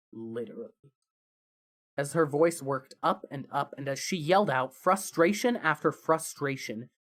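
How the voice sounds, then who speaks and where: A narrator with a mid-range voice reads a story dramatically. Phrases start with emphasis and trail off softly, in a scripted fan fiction style. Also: medium pitch.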